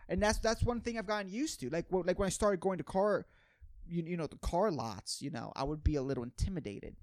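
Male podcast host, slightly high pitch, dry close sound, casual comedic riffing, slangy and profane. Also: expressive, a little fast, darkish tone, no room sound. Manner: rambling, slangy, profane, repeats phrases for emphasis.

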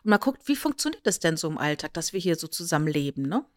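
Eine Frau mit deutschem Akzent plaudert als Podcast-Co-Moderatorin, erklärt erzählend, spielt Zitate nach und sucht mit kleinen Nachfragen Zustimmung. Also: female voice, deep voice, very animated, fast, no echo, clean studio sound. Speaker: podcast co-host. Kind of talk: casual podcast chat, explanatory retelling.

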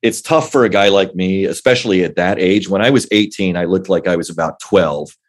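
A man in his forties with a bass voice, a guest actor chatting in a casual interview, rambling with many fillers and restarts. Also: a little fast. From Northern Virginia.

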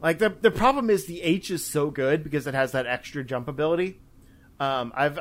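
A man chatting casually about video games as a podcast host, in a rambling, hesitant way. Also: mid-range voice.

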